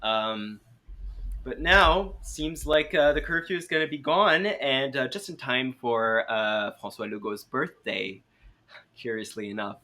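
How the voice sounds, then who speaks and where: A man's voice: mid-range voice, measured pace, pushed and bright. A young man from France with a French accent, chatting casually as a talk show guest. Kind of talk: casual chat.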